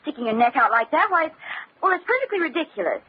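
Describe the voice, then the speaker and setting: An actress playing a plucky, excitable female investigator in an old-time radio drama, with an expressive, exclamatory delivery. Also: high-pitched, fast. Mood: alarmed, scolding. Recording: muffled, noisy vintage radio recording.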